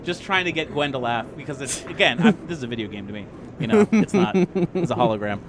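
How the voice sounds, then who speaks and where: A man playing a tabletop role-playing game, talking casually and improvising with an animated manner. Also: a little high, fast, very noisy.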